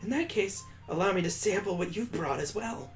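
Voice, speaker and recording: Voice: medium pitch, expressive, moderate pace, soft background music, natural voice. A let's player voicing a prim, knowledgeable schoolgirl who speaks formally in a visual novel. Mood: polite interest. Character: prim, knowledgeable schoolgirl acting as assistant teacher.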